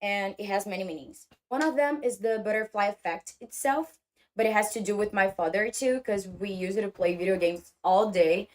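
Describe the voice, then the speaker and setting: Woman speaking halting English. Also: medium pitch, a little mellow. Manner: halting, searching for English words. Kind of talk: casual vlog talk.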